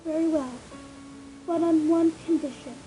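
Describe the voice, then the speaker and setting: A man voicing a loyal, confident female unicorn pony in a falsetto for a dramatic story reading. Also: fairly even intonation, normal speed, mellow, soft background music, low-quality recording. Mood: resolute.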